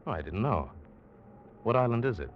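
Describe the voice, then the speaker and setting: An actor playing a confident, dismissive big game hunter, narrating and acting in 1940s radio drama style. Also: male voice, on the low side, sing-song, brisk, slight background noise. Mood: breezy, dismissive. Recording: dull 1940s radio recording, loud music.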